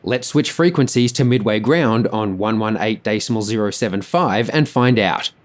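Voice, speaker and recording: A man's voice: fairly low pitch, normal speed. A man reading scripted explanatory narration about an aviation incident.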